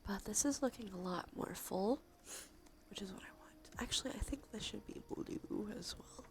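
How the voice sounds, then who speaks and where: A woman livestreamer talking casually in a soft, breathy whisper with vocal fry, in an informal ASMR game stream style. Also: mid-range voice, moderate pace. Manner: sweary, informal. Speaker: livestreamer and host.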